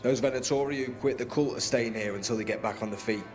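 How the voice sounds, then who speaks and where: A man's voice: medium pitch, quick pace, music in the background, lightly acted. A man playing a blunt, streetwise resistance fighter in a video game, plain-spoken and sardonic. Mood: guarded. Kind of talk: video game character dialogue.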